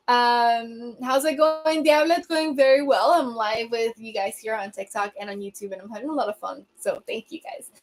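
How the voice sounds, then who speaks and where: Chatty woman with a higher voice. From Venezuela. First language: Spanish. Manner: chatty, laughs often, many ums and restarts. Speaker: livestream host. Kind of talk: casual livestream chat reading comments.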